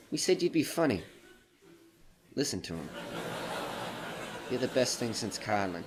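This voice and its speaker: A man playing a sly, genial but menacing supernatural debt collector in an audio horror drama, drawling and teasing with casual pauses. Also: mid-range voice, gravelly, slight background noise.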